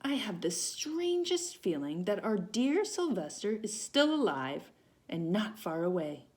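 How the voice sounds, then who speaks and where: A woman's voice: mid-range voice, very animated, a little slow. Woman voicing a wistful, pleading mother donkey. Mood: hopeful. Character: wistful mother donkey, hopeful, missing her son.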